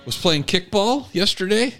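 A man's voice: high-pitched, animated, moderate pace, bright, forceful tone, soft background music. A middle-aged American man hosting a podcast, chatting casually with self-deprecating, jokey storytelling. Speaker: podcast host, stand-up comic. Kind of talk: casual humorous chat.

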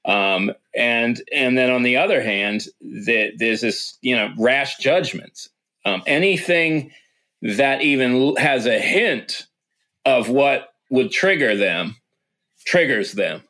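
A man's voice: medium pitch, animated, slow pace, pushed and bright. A man hosting a casual discussion, rambling through his point with many fillers and restarts. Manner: rambling, many fillers, frequent "yeah" backchannels.

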